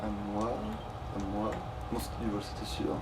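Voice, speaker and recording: A man's voice: on the low side, flat delivery, normal speed. A man giving casual, sweary gaming commentary, rambling and repeating himself as he chats with a friend. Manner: informal, sweary, rambling and repetitive. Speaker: let's play gamer. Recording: distant mic with faint noise.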